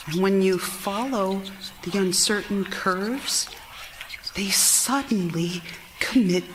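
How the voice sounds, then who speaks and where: An actress playing an anxious, exhausted young wife and mother in period radio theater, halting and intense, dropping into hushed tones. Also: medium pitch, slight background noise. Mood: disgusted, secretive. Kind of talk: period radio theater acting, monologue and dialogue. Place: theater stage, live performance.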